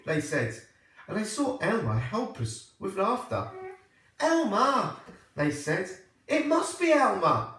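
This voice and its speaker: A man reading a children's storybook aloud like a primary teacher, with expressive, deliberate phrasing. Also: on the high side. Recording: echoey room, distant microphone.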